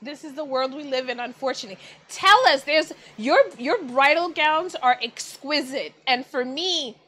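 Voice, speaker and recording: Woman interviewer, high bright voice, gushing, on a webcam call. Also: normal speed. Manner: effusive, admiring, many exclamations. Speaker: magazine editorial director, interviewer. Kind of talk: interview host on video call. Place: indoors in front of a plain wall. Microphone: video call webcam.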